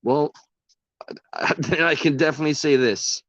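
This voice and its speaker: Hoarse, ranting livestream host man. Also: a little high, measured pace, a bit bright, dry recording, highs cut off. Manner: rambling, passionate, swears often. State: voice worn from talking. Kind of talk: casual livestream talk to chat.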